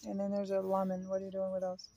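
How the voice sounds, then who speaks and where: A woman behind the camera in a backyard, casually coaching and gently teasing children through a pretend game. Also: medium pitch, completely flat delivery, quick pace, vocal fry. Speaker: parent filming children at play. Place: backyard, outdoors. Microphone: handheld camera, speaker behind it.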